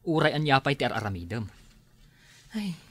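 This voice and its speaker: An actor playing a patient, weary grown son, a schoolteacher, speaking earnestly in a radio drama. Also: male voice, medium pitch, big pitch swings, normal speed, breathy edge. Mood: resigned. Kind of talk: Filipino radio drama acting.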